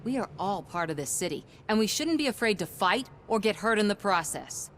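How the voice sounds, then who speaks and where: A woman's voice: medium pitch, lively intonation, a little fast, a bit bright, slight background noise, natural voice. A woman acting a persistent, idealistic television news reporter in a video game, earnest and slightly wry. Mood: earnest, persuasive.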